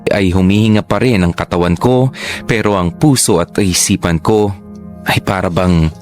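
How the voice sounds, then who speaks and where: Radio drama narrator: Filipino man, lowish voice, sombre scripted read, faint music behind. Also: moderate pace, darkish tone. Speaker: narrator reading a letter.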